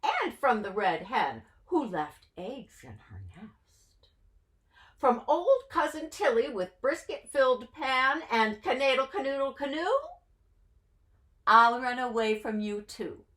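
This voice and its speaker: A woman's voice: fairly high pitch, big pitch swings, slow, fairly bright tone, exaggerated voice. A woman voicing a cheeky, boastful little runaway matzah man from a storybook, chanting in taunting singsong rhyme.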